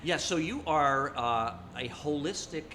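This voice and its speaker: An older man co-hosting an interview, introducing the guest in a measured way with joking asides. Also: fairly high pitch, sharp tone, slight background noise. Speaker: co-host. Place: bright living room set. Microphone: stand mic in front.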